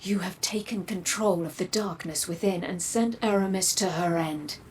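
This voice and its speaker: A woman playing a grave, cryptic seer in a video game, hushed and deliberate. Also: on the low side, very noisy, close to an everyday voice. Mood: grave, foreboding. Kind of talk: dramatic video game narration.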